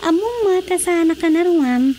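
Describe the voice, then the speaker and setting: High-voiced Ilocano mayor's wife. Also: female voice, lively intonation, normal speed, mellow. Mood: modest, firm. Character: plain-spoken bossy wife of a small-town mayor. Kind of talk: radio drama comedy acting. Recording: faint noisy cricket-like ambience.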